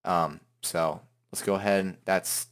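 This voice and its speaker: Low-voiced man giving a casual tutorial. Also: steady tone, unhurried, clear, resonant tone. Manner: informal, rambling, hums filler syllables while working. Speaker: tutorial host. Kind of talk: casual improvised software tutorial.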